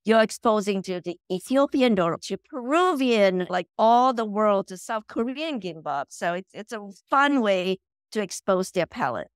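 Woman from Malaysia, middle-aged, animated guest, dry close sound. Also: medium pitch, moderate pace, no echo. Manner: animated, repeats words when starting sentences. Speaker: guest, company founder. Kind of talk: casual interview chat. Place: home room, video call.

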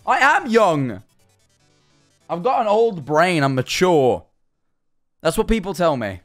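Animated young man streaming. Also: high-pitched, slow, faint music behind. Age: young adult. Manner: animated, sarcastic, swears often. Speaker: livestreamer. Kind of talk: reading and reacting to chat. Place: small bedroom streaming room. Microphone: headset-style studio mic, seated at desk.

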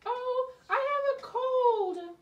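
Shrill, sly storybook wolf. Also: female voice, lively intonation, exaggerated voice. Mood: falsely sweet. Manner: slow, drawn-out, theatrical. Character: sly, hungry big bad wolf posing as a sweet granny. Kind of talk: children's fairy tale acting. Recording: distant, echoey, slightly muffled.

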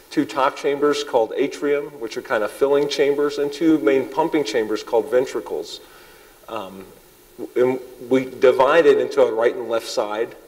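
A man in his thirties, a cardiologist, giving a public lecture to an audience in a large hall. He has a loud voice and talks haltingly, with many ums and restarts. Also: medium pitch. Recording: long hall reverb.

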